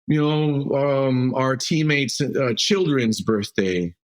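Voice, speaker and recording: A man's voice: mid-range voice, takes it slowly. A man from Mexico, a roofing company CEO guest, talking loosely with frequent fillers and restarts. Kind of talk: casual interview answers.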